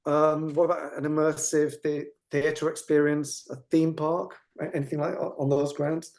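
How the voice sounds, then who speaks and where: A man's voice: a little high, level tone, moderate pace, darkish tone. A man interviewing conversationally as a webinar host, asking tentative, rambling questions in several parts.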